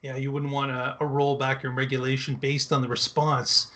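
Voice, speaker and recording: A man's voice: medium pitch, monotone, normal speed, a bit bright. A man co-hosting a talk show, giving casual, opinionated commentary in a conversational, explanatory way.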